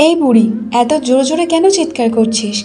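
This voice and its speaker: A woman's voice: high-pitched, animated, a little fast, over music. A woman voicing a haughty, bossy, stingy young daughter-in-law in a cartoon, scolding and commanding with contempt. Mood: scornful, annoyed.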